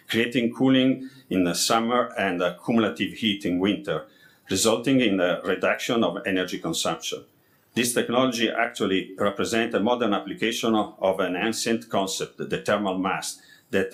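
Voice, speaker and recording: A man speaking English as a second language, giving a formal scripted presentation as an architect, haltingly, stumbling over and repeating words. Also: fairly low pitch, a bit bright.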